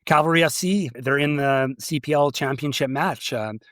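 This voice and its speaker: A man's voice: mid-range voice, moderate pace, fairly bright tone, no echo, studio quality. A man hosting a casual sports podcast, nasal from a slight cold, talking in a hesitant way with many restarts. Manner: hesitant, restarts often with "uh" and "you know". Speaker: podcast host.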